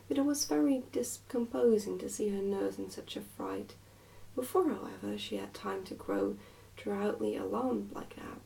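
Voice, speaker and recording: A woman gives an ASMR reading of a children's storybook. Her tone is soft and dark with noticeable fry, and she sits a little way from the mic. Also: mid-range voice, far from the mic, slight background noise, low-quality recording. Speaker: reader, ASMR channel host.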